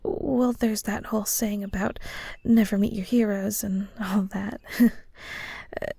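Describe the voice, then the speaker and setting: A woman playing a shy, kind ghost in an intimate audio roleplay, speaking softly and hesitantly with stammers and nervous little laughs. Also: mid-range voice, steady tone, unhurried, no room sound. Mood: gentle, concerned. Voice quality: soft, breathy. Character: shy, kind female ghost shade, awkward and caring. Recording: soft guitar music behind. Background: gentle guitar music.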